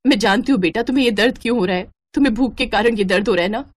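A woman voicing a poor, gentle, long-suffering mother in a storybook tale, earnest and humble. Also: on the high side, rapid-fire, lightly acted. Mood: tender concern.

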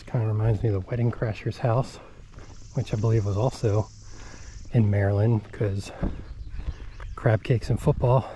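A man's voice: medium pitch, warm tone, a little hiss or hum, poor audio. A middle-aged man casually narrating a hike outdoors, chatty and easygoing, reading signs aloud as he walks. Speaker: hiking vlogger. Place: outdoors on a farm by a bay.

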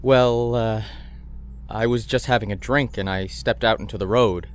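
A man's voice: on the low side, fairly even intonation, normal speed, lightly acted. An actor playing a flustered, hesitant, polite everyman customer in a comedy audio drama. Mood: puzzled. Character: flustered, bewildered everyman insurance customer named Ed.

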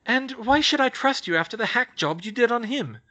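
A man voicing a sharp, clever young woman witch, confrontational with a raised voice. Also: very high voice, lively intonation, brisk, pitched above the performer's own voice, exaggerated voice. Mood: skeptical.

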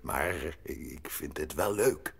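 An actor playing a kindly, gruff old mountain grandfather in a children's audio drama, muttering good-naturedly. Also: a bass voice, slow, fairly bright tone, full character voice. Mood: warm, musing.

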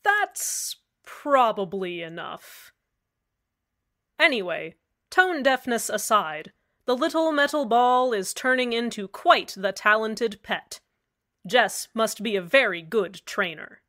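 A woman's voice: fairly high pitch, lively intonation, slow pace, sharp tone. A woman hosting a scripted community radio show, delivered in a cheerfully matter-of-fact way. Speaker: radio host. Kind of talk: scripted fan-fiction radio narration.

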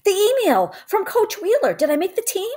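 Woman as an eager, high-pitched storybook kid. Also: very animated, a little fast, darkish tone. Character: eager young sporty child narrator of storybook.

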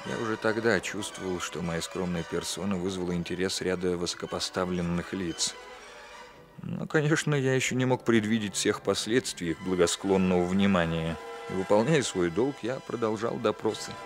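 A man plays a police captain looking back in a radio play. His very low voice narrates in a formal, reflective way, with music clearly behind it. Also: moderate pace, close to an everyday voice. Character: dutiful, reflective male police captain recounting events. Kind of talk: 1960s Soviet radio drama narration.

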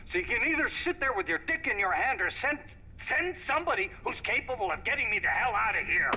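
A man's voice: sits high, big pitch swings, fairly quick, sharp tone, slight background noise, heavily acted. A man playing a desperate, arrogant, foul-mouthed research scientist in a video game, agitated and demanding. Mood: angry, demanding. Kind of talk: dramatic video game acting.

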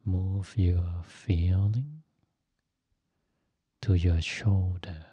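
Very slow, low-voiced man guiding meditation. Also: fairly even intonation, husky, no echo. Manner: gentle, instructive, phrase by phrase with long pauses. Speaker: Buddhist monk and meditation teacher. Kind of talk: guided meditation.

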